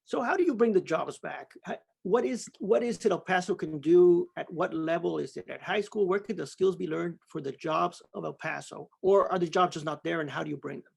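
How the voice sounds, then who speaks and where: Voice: medium pitch, a little fast, gravelly, few pauses, darkish tone, breathy edge. An interviewer asking questions on a video call, encouraging, with frequent affirming murmurs.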